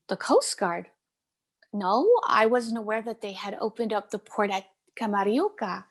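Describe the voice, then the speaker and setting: Gentle stage-play mother, played by a woman, very slow and emotional, pitched a little high. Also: husky, full character voice. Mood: worried. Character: Norma, gentle mother shattered by terrible news. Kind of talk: dramatic table read of a stage play.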